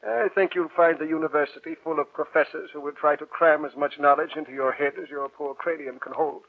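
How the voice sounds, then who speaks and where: An actor playing a learned, avuncular older professor with an ominous, cautioning streak, in the theatrical style of 1940s radio drama. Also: male voice, on the high side, fairly even intonation, fairly quick. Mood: hearty, welcoming. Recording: tinny, muffled 1940s radio recording.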